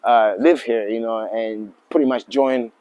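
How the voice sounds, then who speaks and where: A man in his forties from Tanzania, a lodge owner speaking as an interview guest in a relaxed, halting way with many fillers and restarts. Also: medium pitch, dry recording. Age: 40s. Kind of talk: casual interview talk.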